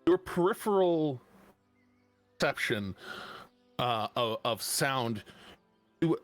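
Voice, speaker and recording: A man narrates a tabletop game at an unhurried, searching pace, often backing up to rephrase. His voice sits at an ordinary middle pitch, with faint music playing behind him. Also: expressive, dry recording. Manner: slow, halting, many restarts and fillers. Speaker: game master.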